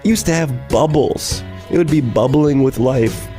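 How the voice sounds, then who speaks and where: Mellow male radio presenter over music. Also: medium pitch, measured pace. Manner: reflective, wryly self-deprecating. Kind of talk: conversational scripted radio monologue. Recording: music bed behind the voice. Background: light guitar music.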